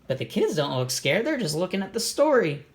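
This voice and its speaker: Someone reading a kids storybook out loud, real expressive, stops to explain stuff and ask questions. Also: mid-range voice, fairly quick. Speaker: storybook reader. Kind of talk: animated read-aloud with comments to children.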